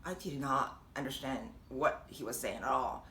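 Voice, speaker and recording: A young woman with a Japanese accent, chatting warmly and encouragingly to camera, laughing often. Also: very deep voice, moderate pace. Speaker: vlogger and language teacher. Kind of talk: casual encouraging talk to camera. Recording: echoey room with faint noise.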